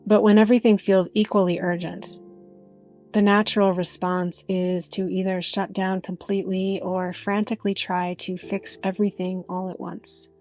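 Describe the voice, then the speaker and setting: A woman narrating a guided meditation in a gentle, unhurried way with frequent pauses. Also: on the low side.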